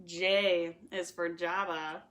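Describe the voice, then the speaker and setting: A woman reading a rhyming children's picture book aloud in an expressive, playful, sing-song way. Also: on the low side, takes it slowly. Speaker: storytime reader.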